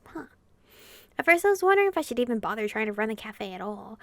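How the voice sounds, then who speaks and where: A woman's voice: on the high side, very animated, fast, fairly bright tone, dry recording. A woman gamer reading a visual novel aloud expressively, in the style of a casual playthrough.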